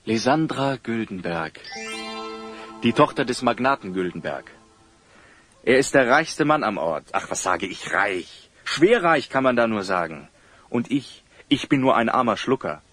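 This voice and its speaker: An actor playing a flustered, honest young village sprinter in a German audio drama, halting and nervous. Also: male voice, mid-range voice, very animated, a bit bright, no echo, soft background music. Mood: despairing, anxious.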